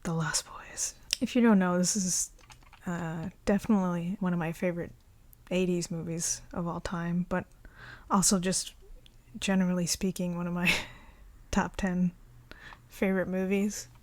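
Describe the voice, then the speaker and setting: A woman speaking softly and often in a near whisper, in a relaxed, chatty ASMR show-and-tell. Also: on the low side, a little slow, no room sound. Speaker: ASMR video creator showing a collection.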